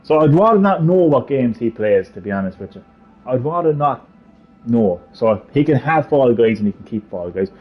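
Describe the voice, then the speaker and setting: A man's voice: medium pitch, very animated, moderate pace, soft background music. A man with a Cork Irish accent hosting a casual live stream, joking and ranting with lots of swearing. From Ireland. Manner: profane, jokey, ranting. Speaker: livestream host. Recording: slightly muffled stream audio.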